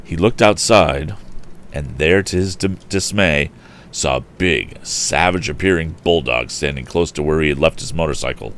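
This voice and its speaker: A man reading an adventure story aloud in an expressive storyteller's style. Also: rumbling low, normal speed, slight background noise. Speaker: storyteller, livestream host.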